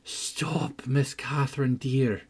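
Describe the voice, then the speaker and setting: A man's voice: medium pitch, steady tone, slow pace, husky. A man voicing an earnest, motherly housekeeper, reproachful and moralizing, in a storybook reading. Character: earnest, motherly housekeeper scolding her young mistress.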